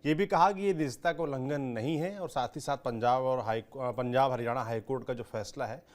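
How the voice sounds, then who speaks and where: Male news anchor, mid-pitched voice, explanatory news presenting. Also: a little fast.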